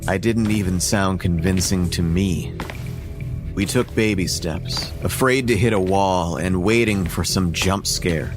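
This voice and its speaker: A man's voice: low-pitched, a little slow. A man reading a scripted first-person horror story as its narrator. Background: music.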